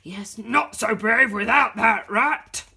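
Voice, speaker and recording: A woman's voice: a little high, slow pace, creaky, pushed and bright, husky, heavily acted. Woman putting on a sneering bully dog. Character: menacing male park dog, bullying.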